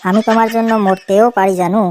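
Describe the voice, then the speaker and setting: A quick-talking young Bengali boyfriend from a comedy cartoon, played by a woman, with a middling pitch. He placates in a cheeky, sly way. There is faint noise in the recording. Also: little pitch movement, a little mellow, natural voice. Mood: placating.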